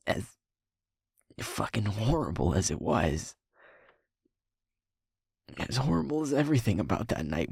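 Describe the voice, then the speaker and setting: A man acts out a sweet young werewolf boyfriend burdened by guilt. His voice is low and gravelly with a lot of breath in it, often sinking toward a whisper. He talks slowly, with heavy pauses, as if confessing in an intimate audio drama. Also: no room sound, studio quality, full character voice. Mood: grave, regretful. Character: sweet young male werewolf, guilt-ridden second in command of his pack. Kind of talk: intimate audio roleplay acting.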